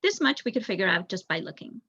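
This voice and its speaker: A woman's voice: mid-range voice, sing-song, fast talker, pushed and bright, slightly rough, no room sound, muffled recording. A young woman reads a storybook aloud as narration, expressively, with an occasional stumble. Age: young adult. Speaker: volunteer audiobook reader.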